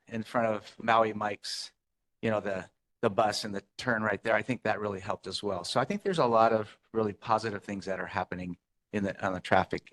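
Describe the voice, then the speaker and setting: A man commenting as a resident at a neighborhood meeting, rambling with halting restarts. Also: on the low side, fairly bright tone. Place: community meeting room.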